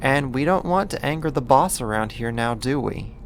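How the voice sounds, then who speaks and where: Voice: medium pitch, expressive, a little hiss or hum, natural voice. A performer playing a possessive yandere neighbor who kidnaps, sweet yet menacing, in an intimate, coaxing ASMR roleplay monologue. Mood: possessive, smug. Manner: coaxing, slow, deliberate, condescending endearments.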